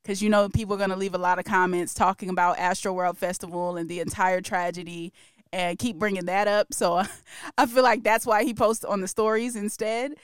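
A woman's voice: medium pitch, fairly even intonation, brisk, clear, resonant tone, no room sound. A woman co-hosting a casual pop-culture podcast, chatty and opinionated, laughing often. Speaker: podcast co-host.

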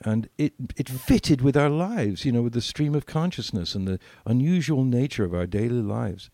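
A man's voice: mid-range voice, lively intonation, normal speed, dark tone, dry recording. An older man telling anecdotes casually as a podcast co-host, acting out bits of dialogue as he reminisces.

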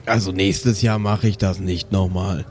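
A man's voice: fairly even intonation, unhurried. A man chatting casually as a player in an improvised tabletop game. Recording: clear rumbling ambient noise behind.

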